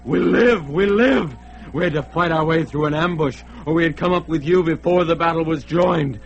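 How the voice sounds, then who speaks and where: An actor playing a loyal northern warrior in a radio drama, speaking earnestly and urgently. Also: male voice, on the high side, normal speed, gravelly, faint music behind. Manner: earnest, urgent, archaic speech. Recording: dull lo-fi old recording.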